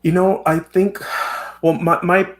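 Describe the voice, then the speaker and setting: A man's voice: high voice, little pitch movement, measured pace. A man in his thirties whose first language is Spanish, talking thoughtfully as a poet guest in a casual interview. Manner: thoughtful, reflective.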